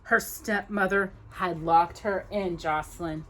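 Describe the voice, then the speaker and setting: A woman's voice: fairly low pitch, fairly even intonation, measured pace, fairly bright tone. A woman reading a children's story aloud with dramatic, emphatic narration. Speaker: storytime reader.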